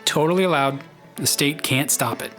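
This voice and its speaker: A man's voice: fairly high pitch, moderate pace. A man narrating a scripted podcast story in a wry storytelling manner. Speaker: podcast host. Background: loud plucked guitar music.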